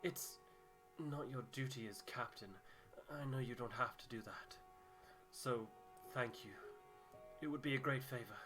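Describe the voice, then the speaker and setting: A man's voice: medium pitch, natural voice. A man voicing an earnest, anxious expedition crewman from a video game, speaking sincerely and hesitantly. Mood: earnest, solemn. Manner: hesitant, sincere, halting phrasing. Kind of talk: voiced reading of video game dialogue. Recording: music behind the voice.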